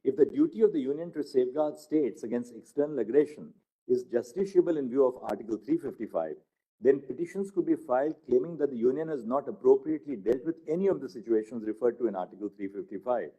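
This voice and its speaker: Male narrator, very mellow and husky tone, formal measured reading of legal material. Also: medium pitch, lively intonation, rough recording. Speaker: narrator reading a court judgment. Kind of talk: formal read-aloud of a legal text.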